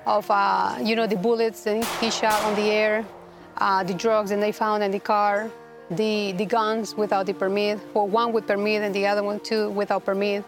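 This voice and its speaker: A woman from Rica, a guest telling her story in a halting, earnest way with many restarts. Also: medium pitch, flat delivery, over music. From Costa Rica. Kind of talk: personal testimony interview.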